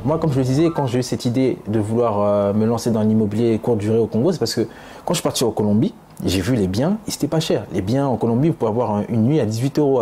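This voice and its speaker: A man's voice: fairly low pitch, mellow. A young French man talking casually to camera, emphatic and full of fillers and repeats, from France. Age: young adult. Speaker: vlogger.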